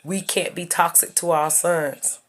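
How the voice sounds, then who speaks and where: A woman's voice: lowish voice, steady tone, a little slow. A woman in her thirties talking straight to her viewers in a casual advice video, emphatic and preachy, repeating her points. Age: 30s. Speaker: YouTuber giving life advice.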